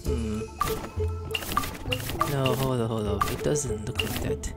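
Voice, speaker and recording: A man's voice: medium pitch, sing-song, slow pace. A man hosting a gaming video, muttering and thinking aloud in casual commentary. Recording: loud game music behind the voice.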